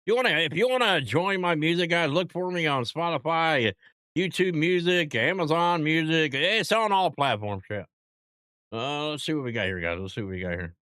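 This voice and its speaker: A man's voice: on the high side, lively intonation, moderate pace, bright, forceful tone, smooth, dry recording, studio quality. A man hosting a casual live stream, rambling and bantering, constantly addressing his chat with playful hype.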